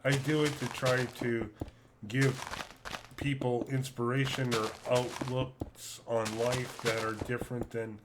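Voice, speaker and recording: A middle-aged man with a deep voice, chatting calmly and casually with viewers on his live stream from home. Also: slow pace, noisy background. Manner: calm, laid-back, chatty with viewers.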